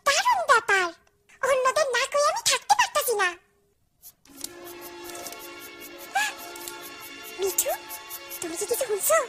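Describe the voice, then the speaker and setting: Eager, kind Bangladeshi village girl for a cartoon; very high, lively, earnest storytelling over prominent music. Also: brisk. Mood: eager, chatty. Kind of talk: animated cartoon dialogue and storytelling. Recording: music behind the voice.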